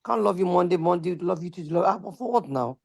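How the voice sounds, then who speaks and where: A woman guest on a casual video call, speaking in a lively, playful way. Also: on the low side, fast talker, gravelly, husky, no room sound, clean studio sound. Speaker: panel guest.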